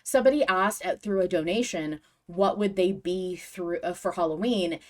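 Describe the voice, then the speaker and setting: A woman's voice: lowish voice, moderate pace. Emphatic woman hosting a fan podcast. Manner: emphatic, gushing fan enthusiasm, swearing. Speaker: podcast host. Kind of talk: casual fan discussion podcast.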